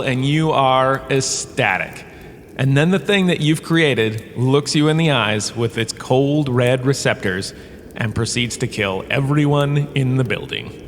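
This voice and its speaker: A man plays a washed-up holodrama star turned professor in a comic audio drama. He lectures theatrically, pleased with himself and breezily dismissive. His mid-pitched voice rings with long cave reverb over faint ambient noise. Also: natural voice. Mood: dramatic, storytelling.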